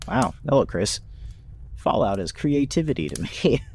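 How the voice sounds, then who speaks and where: A man chatting casually in a halting, good-humored and self-mocking way. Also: medium pitch, sing-song, darkish tone, husky. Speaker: podcast voice actor and writer. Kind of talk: casual chat.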